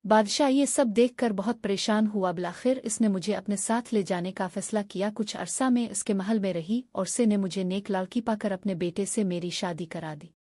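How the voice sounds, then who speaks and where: A woman's voice: mid-range voice, moderate pace, few pauses, darkish tone, no room sound, clean studio sound, lightly acted. A woman playing a young princess who was once poor, speaking with grief and indignation in a dramatic cartoon story. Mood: grieving, solemn. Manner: declamatory, reproachful.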